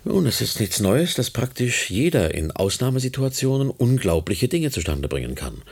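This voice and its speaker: An actor playing a guarded, formal medical researcher in a German radio play, gravelly and measured, with some hesitation. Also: male voice, mid-range voice, very animated, a little mellow, full character voice. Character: guarded, evasive medical researcher questioning a woman.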